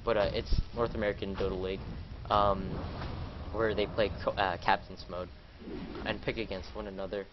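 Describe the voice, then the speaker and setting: A man casting a video game live, sounding nasal and a little stuffed up. He rambles with lots of fillers and gets louder during the fights. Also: lowish voice, flat delivery, normal speed, lo-fi sound, background noise. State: congested, a little sick. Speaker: esports caster.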